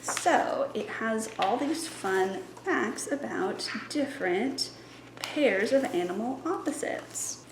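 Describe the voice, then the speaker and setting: A woman's voice: on the high side, expressive, slow pace, noisy background. A young woman in her twenties, a library science student, chatting in an upbeat, teacherly way as she plans a children's story time. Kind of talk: casual teaching vlog. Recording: echoey room.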